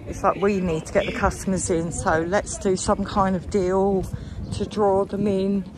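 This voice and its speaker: A woman's voice: mid-range voice, little pitch movement, normal speed, a crowd in the background, poor audio. A middle-aged British woman chatting casually to viewers as she hosts a walking-tour vlog. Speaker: vlog host. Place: outdoors, walking along a marina promenade. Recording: clear outdoor ambient noise. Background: outdoor seafront ambience.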